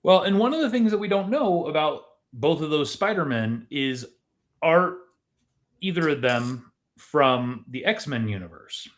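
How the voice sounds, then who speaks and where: A man co-hosting a podcast in casual, analytical discussion, deliberate and questioning in how he talks. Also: a little high, lively intonation. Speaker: podcast co-host.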